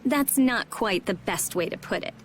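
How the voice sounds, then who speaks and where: A woman's voice: medium pitch, lively intonation, normal speed, a little hiss or hum, natural voice. A woman playing a brash teenage girl mage in a video game, blunt and sarcastic, talking like a know-it-all. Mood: matter-of-fact. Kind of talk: English video game voice acting.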